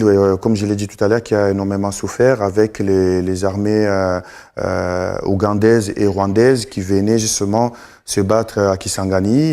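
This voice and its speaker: Casting: middle-aged studio guest, very low flat voice, halting with lots of fillers. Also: male voice. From Congo. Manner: hesitant, many fillers and restarts. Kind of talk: live TV interview.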